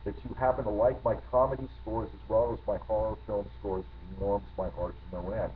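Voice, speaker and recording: A man's voice: fairly low pitch, steady tone, fairly quick. A middle-aged man from New Jersey, a guest composer telling rambling, reflective anecdotes with many restarts. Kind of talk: storytelling interview answers. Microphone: video call. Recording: tinny lo-fi line with faint noise.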